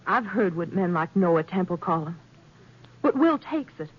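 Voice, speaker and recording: An actress playing an anxious, devoted young rancher's wife in a 1950s radio western, earnest and faltering with emotion. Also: female voice, lowish voice, animated, measured pace, husky. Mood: subdued, earnest. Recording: dull, noisy 1950s radio recording.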